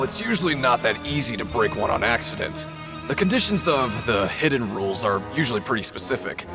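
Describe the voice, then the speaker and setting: A man's voice: medium pitch, close to an everyday voice. A man voicing a seasoned, knowing factory worker in a video game, casual, folksy and cautionary. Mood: teasing, cautionary.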